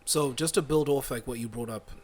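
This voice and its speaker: A man with a medium-pitched voice hosts a conversational interview. He is informal and probing, building up to each question in loose, roundabout phrases. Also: brisk, a little mellow. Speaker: interview host.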